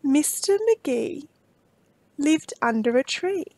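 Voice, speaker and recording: High-voiced woman reading a picture book aloud. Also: no room sound, rough recording. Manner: expressive, deliberate storytelling. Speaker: storytime host and reader.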